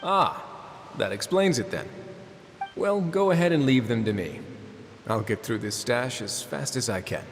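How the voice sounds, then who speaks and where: A laid-back male prison warden from a video game, speaking in a mid-range voice with relaxed, wry banter. A long reverb trails behind his lines. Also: medium pitch, measured pace, soft background music.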